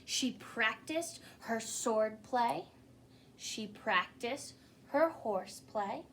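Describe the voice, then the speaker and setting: A woman reading a children's picture book aloud as a story-time host, in an expressive manner. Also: a little high. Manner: expressive, pausing to ask listeners questions. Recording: clearly noisy recording.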